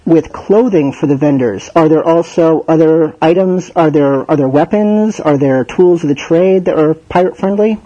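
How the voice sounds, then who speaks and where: Chatty podcast host, dark husky voice. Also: male voice, fairly high pitch, moderate pace, few pauses, highs cut off. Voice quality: slightly stuffy. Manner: chatty, jokey, self-deprecating. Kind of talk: casual podcast talk and interviewing.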